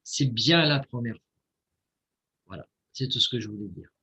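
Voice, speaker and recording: A man's voice: mid-range voice, lively intonation, normal speed, bright, forceful tone, breathy edge. A man from Italy explaining informally and earnestly to viewers over a dull online video call.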